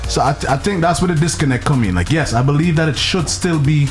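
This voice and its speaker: Dj dude making his point fast and steady, music faint in back. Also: fairly high pitch. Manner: reasoned, builds his argument. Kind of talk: casual panel discussion.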